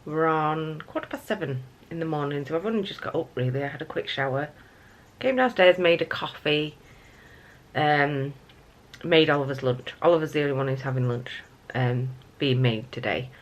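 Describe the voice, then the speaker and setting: Croaky, low-voiced woman vlogging at home. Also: moderate pace, highs cut off. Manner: rambling with many fillers. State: croaky just-woken voice. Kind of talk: casual morning vlog chat. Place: family home kitchen.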